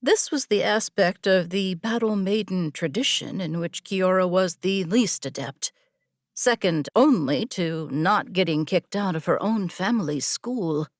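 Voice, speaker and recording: A woman narrating scripted fiction with a measured, deliberate storytelling delivery. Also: on the low side, animated, dry recording, studio quality. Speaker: audiobook narrator.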